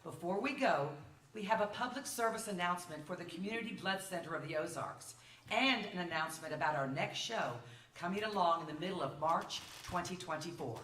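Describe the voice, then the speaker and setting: Woman, stage host announcement, bright forceful tone, roomy echo, distant mic. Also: lowish voice, animated, a little fast. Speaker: host of the theater production. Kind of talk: scripted stage announcement to an audience. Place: indoor library stage, away from the mic. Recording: echoey room, distant mic, faint noise.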